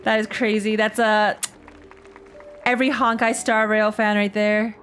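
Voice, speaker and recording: A woman's voice: medium pitch, level tone, a little slow, fairly bright tone, soft background music. A woman game streamer giving casual, slangy, playful commentary during a playthrough.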